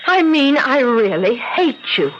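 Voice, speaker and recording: High-pitched, desperate, intense woman. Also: sing-song, takes it slowly, full character voice. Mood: indignant. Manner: intense, insistent, emotional. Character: desperate, pleading, resentful woman longing for escape. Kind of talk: old-time radio drama acting. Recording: muffled, very noisy old radio recording.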